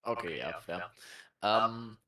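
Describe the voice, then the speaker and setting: A man with a German accent speaks haltingly over a voice-chat call, filling his pauses with uhs. His voice sits a little low. The line carries a roomy echo. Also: animated, bright, forceful tone. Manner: hesitant, many fillers. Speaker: guest on voice chat.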